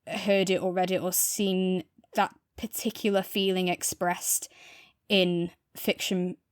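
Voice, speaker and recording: Woman podcast host, medium pitch, thoughtful casual review chat, no room echo. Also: clean tone, studio quality.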